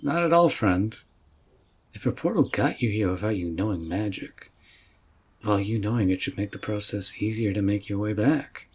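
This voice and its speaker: Man playing a husky, easygoing wizard mentor. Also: fairly low pitch, moderate pace. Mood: reassuring. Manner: folksy, relaxed, reassuring. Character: easygoing, friendly male fantasy mage mentor. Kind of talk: roleplay ASMR audio drama.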